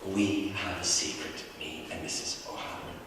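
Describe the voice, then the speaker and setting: A man playing a cheeky, dreamy young schoolboy who narrates his own story on stage, launching into high theatrical wails. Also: steady tone, normal speed, fairly bright tone, slight background noise. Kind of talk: one-man stage monologue. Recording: distant, echoey hall recording with faint ambience.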